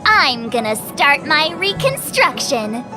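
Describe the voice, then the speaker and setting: A woman voicing an excitable, theatrical young girl detective in a video game, playful and dramatic. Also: high voice, slow pace, over music, exaggerated voice. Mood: playfully self-important. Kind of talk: animated video game voice acting.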